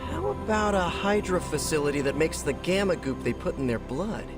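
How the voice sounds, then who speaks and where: Man voicing a lively, quippy superhero. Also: fairly high pitch, normal speed, music in the background, lightly acted. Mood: flippant, helpful. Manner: flippant, casual. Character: quippy male superhero, breezy and sarcastic. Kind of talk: video game dialogue acting.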